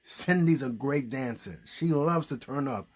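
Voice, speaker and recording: A man's voice: medium pitch, a little slow, darkish tone, husky. A man hosting a puppet show, chatting casually and encouragingly and prompting with questions. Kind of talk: casual, unscripted chat.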